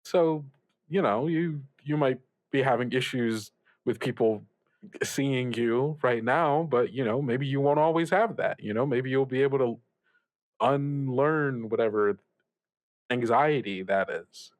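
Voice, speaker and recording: A man playing a reassuring older radio mentor in an improvised audio drama, giving gentle advice in a halting, rambling way. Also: medium pitch, no room sound, natural voice. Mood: halting reassurance. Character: reassuring older male radio mentor, upbeat, evasive about troubles. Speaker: student radio show host. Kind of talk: improvised actual-play audio drama, conversational.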